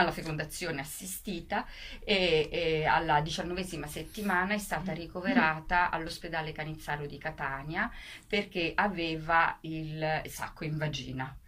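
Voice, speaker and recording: A woman radio guest giving an explanation in interview style, halting at times and emphatic on key words. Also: low voice, fairly bright tone. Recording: faint background noise.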